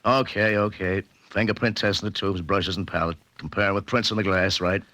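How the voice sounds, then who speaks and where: A man's voice: deep voice, steady tone, brisk, bright, forceful tone, no room sound. An actor playing a cocky, breezy police lab man in a 1940s radio drama, wisecracking in short clipped phrases. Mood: teasing.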